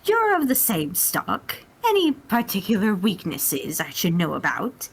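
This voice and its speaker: A woman's voice: medium pitch, wildly swooping pitch, a little slow, gravelly, breathy edge, exaggerated voice. A woman voicing a haughty, devious male alien tyrant with pompous, formal phrasing in an amateur dramatic reading. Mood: smug scheming.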